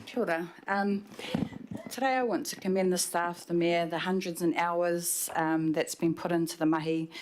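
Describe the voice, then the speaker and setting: Young woman councillor, very low voice, reads prepared remarks, desk mic in council chamber. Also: fairly even intonation, normal speed, a little hiss or hum. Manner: reads prepared remarks, uses Māori phrases. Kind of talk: formal council debate speech. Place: large council chamber indoors. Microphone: desk microphone.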